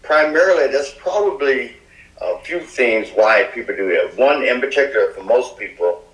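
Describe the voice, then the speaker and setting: A man's voice: mid-range voice, animated, normal speed, fairly bright tone. A man guesting on a podcast, explaining in a folksy, hesitant way with frequent "uh". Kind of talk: casual explanation. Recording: roomy echo.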